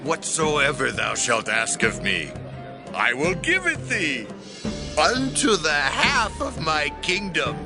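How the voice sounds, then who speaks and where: A man's voice: a little high, huge pitch swings, slow, gravelly, heavily acted. A man playing a grand, indulgent king in a dramatized scripture production, speaking in a declamatory way. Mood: lavish, boastful. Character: King Herod, grand, indulgent male ruler. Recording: music behind the voice. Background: prominent background music.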